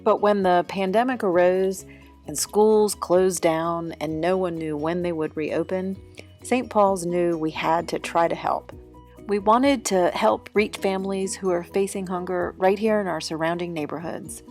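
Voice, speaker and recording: A woman reads a steady, informative script in a lowish voice with a gravelly edge. Soft music plays faintly behind her. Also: measured pace. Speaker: ministry volunteer. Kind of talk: scripted narration.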